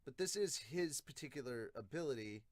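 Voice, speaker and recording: A man hosts a casual review show in a mid-pitched voice. He talks bluntly and with animation, swearing freely as he reacts. The sound is dry, with very little room to it. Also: expressive, normal speed, no echo. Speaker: host of a manga review podcast.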